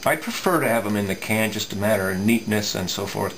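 A middle-aged man giving a casual hands-on technical explanation, thinking aloud haltingly with folksy asides. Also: fairly low pitch, lively intonation, vocal fry, a bit bright, a little hiss or hum, low-quality recording. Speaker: radio repair hobbyist presenting. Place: indoor electronics workbench.

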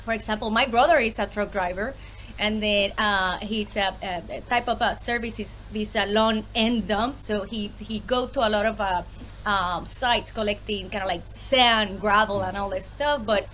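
A woman in her thirties with a Puerto Rican accent, a podcast guest chatting on a video call from home, lively and full of fillers. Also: medium pitch, moderate pace, a little hiss or hum. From Puerto Rico. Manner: lively, laughs often, many fillers. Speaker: guest, small business owner. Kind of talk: casual interview on a video call. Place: home room with bookshelves.

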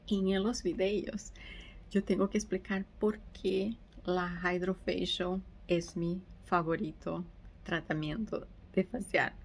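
A woman's voice: lowish voice, flat delivery, slow pace, vocal fry, slightly rough, rough recording. A woman in her forties whose first language is Portuguese, chatting casually as an esthetician and dropping English words in as she explains. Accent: Brazilian Portuguese. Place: small skincare treatment room indoors. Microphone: phone camera at arm's length.

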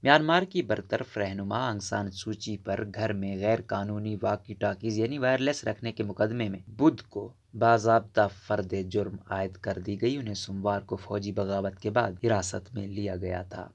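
A man's voice: lowish voice, moderate pace, vocal fry, hardly any pauses, dull audio. A man reading scripted news narration in a formal register.

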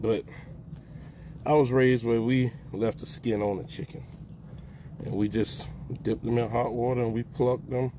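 An older man giving a relaxed, folksy how-to narration outdoors in a backyard while he works with his hands. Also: mid-range voice, noisy background. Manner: folksy, relaxed, talks while plucking, calls out "baby". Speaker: backyard homesteader. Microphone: phone camera held close, hands-on filming.

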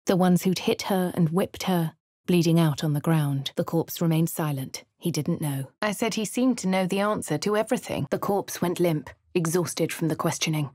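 A composed, dry-witted posh heroine from a fantasy video game, voiced by a woman, speaking in a husky, fairly low voice with an upper-class English accent. Her lines come crisp and measured, with wry asides. The studio recording is close and bone-dry. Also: mellow, dry recording, lightly acted. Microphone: close studio mic.

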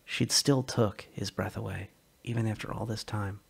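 A man narrating a science fiction short story as a dramatic reading, measured and expressive. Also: on the low side, gravelly, breathy edge.

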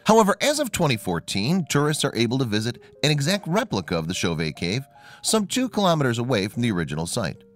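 A man reading a scripted documentary narration in a measured, expressive way. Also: fairly low pitch. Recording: voice-over with faint music behind.

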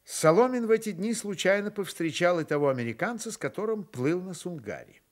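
A man's voice: high voice, expressive, brisk. A man narrating a literary radio drama in a storytelling style.

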